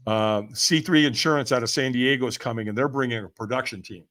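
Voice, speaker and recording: An older man telling stories as an interview guest in an energetic, emphatic, slangy way, acting out remembered conversations. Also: mid-range voice, fast. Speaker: podcast guest, firewalking event leader. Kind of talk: energetic storytelling in casual interview.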